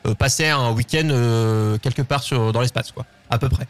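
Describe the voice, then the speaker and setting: A man's voice: medium pitch, moderate pace. A man hosting a casual, unscripted talk show, often rephrasing himself as he goes. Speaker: host of a live call-in show.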